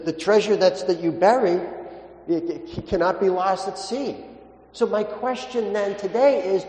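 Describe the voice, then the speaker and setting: A man preaches a homily in a somewhat high-pitched voice. He tells it as a story, haltingly, repeating words and pressing hard on the main points. His voice rings with long reverb in a large, echoing indoor space. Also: warm tone. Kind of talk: sermon with anecdotes and gospel teaching. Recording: echoey, reverberant church-like hall.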